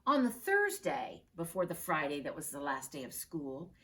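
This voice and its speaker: A woman teacher reading a picture book aloud with expressive storytelling. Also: low voice, moderate pace, a little hiss or hum.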